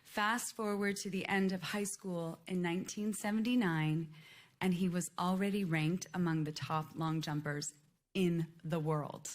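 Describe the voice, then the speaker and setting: A woman's voice: lowish voice, fairly even intonation, measured pace. A woman reading a scripted, formal introduction as a ceremony presenter, in an announcer-like manner.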